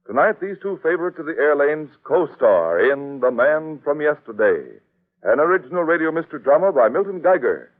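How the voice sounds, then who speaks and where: A man's voice: on the high side, very animated, brisk. An American man announcing in formal, emphatic 1940s radio style, reading scripted copy with polish. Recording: tinny old radio broadcast recording.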